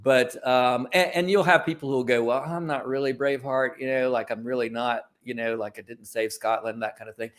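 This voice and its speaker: A man's voice: medium pitch, steady tone, fairly quick, hardly any pauses, a bit bright. Informal, discursive man in an interview. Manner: informal, discursive, self-correcting. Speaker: guest, creative company founder. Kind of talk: conversational interview answers.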